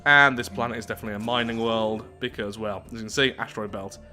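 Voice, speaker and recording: Casting: male game streamer, bright forceful delivery, chatty and self-deprecating, reads lore aloud, music underneath. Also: on the low side, fairly even intonation, fast. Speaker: host of a game playthrough series. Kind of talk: gaming commentary mixed with reading story text aloud.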